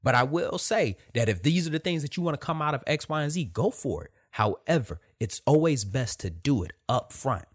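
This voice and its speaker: A soft-voiced man giving a blunt, emphatic motivational talk, repeating his points. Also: medium pitch, lively intonation, brisk, few pauses, slightly rough. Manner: emphatic, repetitive, direct with profanity.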